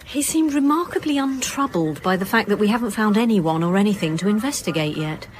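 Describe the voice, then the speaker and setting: A woman playing a senior police inspector in a radio drama, brisk and sceptical, with a dry, authoritative way of talking. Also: medium pitch, animated, close to an everyday voice. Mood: dry, thoughtful. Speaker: actor in an audio drama. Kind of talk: naturalistic radio drama dialogue.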